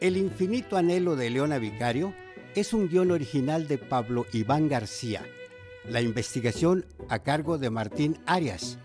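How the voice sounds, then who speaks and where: A man's voice: on the high side, expressive, moderate pace. A man reading a scripted radio announcement as a credits announcer. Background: music.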